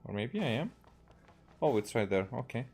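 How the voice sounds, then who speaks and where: A man from Hungary with a Hungarian accent, a native Hungarian speaker, giving casual, halting game commentary as he thinks aloud. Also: medium pitch, very animated, darkish tone. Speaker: game streamer.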